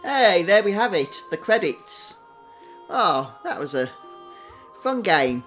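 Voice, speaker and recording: A man's voice: high voice, very animated, takes it slowly, fairly bright tone, soft background music. A man giving upbeat, casual livestream commentary on a video game walkthrough. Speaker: game streamer.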